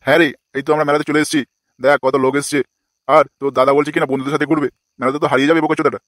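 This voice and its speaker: A man's voice: a little high, level tone, rapid-fire, fairly bright tone, dry recording, lightly acted. A man voicing an exasperated, foul-mouthed older village father in a comic cartoon, scolding crudely. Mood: bossy, teasing.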